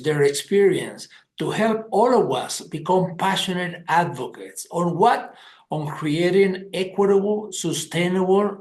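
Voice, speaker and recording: A man's voice: a little high, darkish tone, echoey room. A man from Colombia, enthusiastically introducing a guest as host of a webinar.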